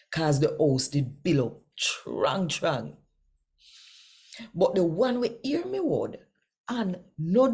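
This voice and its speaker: A Jamaican woman speaking Patois as host of a devotional scripture reading, with deliberate, emphatic phrasing. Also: lowish voice, warm tone, breathy edge.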